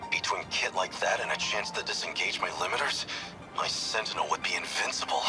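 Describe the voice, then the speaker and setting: A man's voice: low voice, brisk, over music, close to an everyday voice. A man playing a serious, proud young mech pilot in an English video game dub, speaking in earnest declarations. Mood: boastful, determined.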